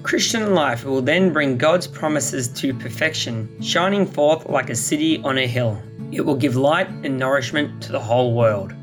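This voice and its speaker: Announcer, even formal read, mid-pitched, guitar bed clearly behind. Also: normal speed, few pauses. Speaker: podcast announcer. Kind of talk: scripted introduction. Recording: spoken over guitar music. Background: plucked guitar music.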